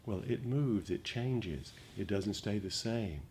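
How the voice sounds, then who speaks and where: A man giving a dharma talk as a meditation teacher, speaking reflectively and gently, with frequent pauses. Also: on the low side, animated. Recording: noticeable background noise.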